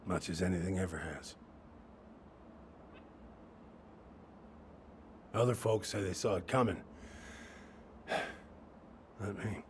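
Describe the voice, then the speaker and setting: A man's voice: sits low, normal speed, slight background noise, natural voice. An actor playing a middle-aged small-town handyman in a video game, awkward and well-meaning, with a hesitant, folksy way of talking. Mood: awkward, reflective. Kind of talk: naturalistic video game acting.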